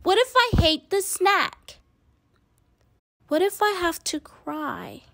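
Woman voicing an anxious little mouse girl, high-pitched. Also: huge pitch swings, slow, vocal fry, fairly bright tone, no room sound, exaggerated voice. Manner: fretful, questioning. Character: small anxious mouse girl, fretful worrier. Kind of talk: children's storybook read-aloud.

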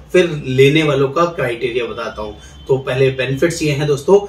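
A middle-aged man sits in a studio chair and pitches straight to camera in a persuasive, salesy way, calling his viewers friends. His voice sits a little high. He sounds set back from the microphone, in a room with a noticeable echo. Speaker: insurance agent recruiter, channel host. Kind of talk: direct-to-camera promotional explainer. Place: indoor studio, seated in office chair. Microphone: lapel mic. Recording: roomy echo, somewhat distant mic.

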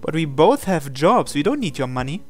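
Let's play host dubbing a visual novel hero; unhurried, mid-pitched, plain. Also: big pitch swings, over music. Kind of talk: reading visual novel lines aloud in English.

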